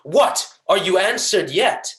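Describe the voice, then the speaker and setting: A young man playing a proud, vengeful merchant villain in a Shakespearean stage monologue, declaiming deliberately and tauntingly. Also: high voice, expressive, a bit bright, voice pushed up, exaggerated voice. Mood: taunting, forceful. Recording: echoey room.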